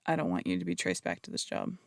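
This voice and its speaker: A woman's voice: very deep voice, sing-song, fast talker, creaky, a little mellow, no echo, studio quality, exaggerated voice. A woman playing a flirtatious, theatrical female phantom thief in an intimate audio roleplay, coaxing and teasing, with slight stammers. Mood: reassuring. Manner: coaxing, teasing, hesitant stammers between polished phrases. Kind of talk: intimate audio roleplay, acted monologue to listener.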